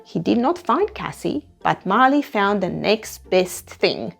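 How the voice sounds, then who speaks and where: A woman narrates a children's picture book. Her delivery is dramatic and unhurried, with animated rises and falls in pitch, over a music bed. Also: creaky. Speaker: storyteller reading a picture book aloud. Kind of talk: animated read-aloud narration for young children. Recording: music behind the voice.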